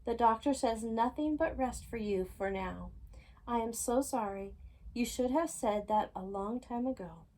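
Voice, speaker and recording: A woman's voice: on the high side, measured pace, mellow, close to an everyday voice. A woman voicing a concerned, caring mother bee in a storybook, soothing but firm.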